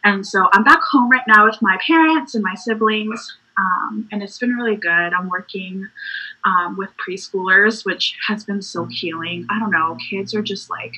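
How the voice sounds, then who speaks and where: A woman's voice: medium pitch, normal speed, husky, poor audio. A woman in her twenties from Puerto Rico, chatting casually as a podcast guest about her faith, laughing easily and using lots of fillers. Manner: warm, laughing, filler-heavy.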